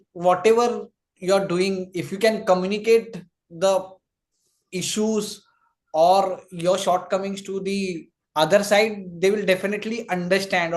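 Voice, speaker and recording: Male interviewer, high register, agreeable and conversational, recaps the point. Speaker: host.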